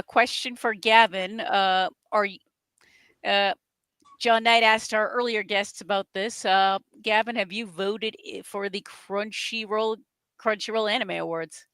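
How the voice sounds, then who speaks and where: Bright-voiced chatty New England woman. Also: medium pitch, clear, resonant tone, dry recording. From New England, near the New Hampshire border. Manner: chatty, stumbles and restarts often. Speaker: co-host. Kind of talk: casual chat on a live stream.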